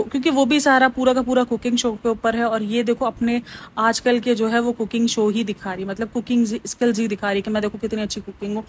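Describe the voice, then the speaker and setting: A woman's voice: on the high side, a little hiss or hum. A woman giving casual, opinionated gossip commentary as a vlogger, talking straight to her viewers. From Pakistan. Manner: chatty, opinionated, keeps calling listeners "bhai". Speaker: vlogger reviewing celebrity vlogs and TV shows.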